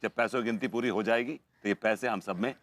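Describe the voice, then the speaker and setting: A man's voice: on the low side, a little fast, fairly bright tone, slight background noise. An actor playing a bossy, righteous spokesman for a beggar gang in a broad sitcom, mixing in English phrases. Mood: accusing. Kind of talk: broad Hindi sitcom acting.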